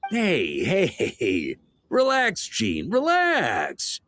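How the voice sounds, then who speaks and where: A man's voice: a little high, wildly swooping pitch, very slow, a bit bright, no room sound, soft background music, heavily acted. A man playing a jovial, easygoing knight commander in an anime game, hearty, informal and teasing. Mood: breezy, reassuring.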